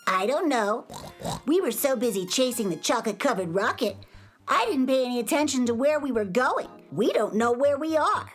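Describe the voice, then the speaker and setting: A woman's voice: sits high, animated, moderate pace, soft background music. A woman voicing a young, hungry, sheepish and easily worried piglet from space in a children's audio drama. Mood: worried, lost.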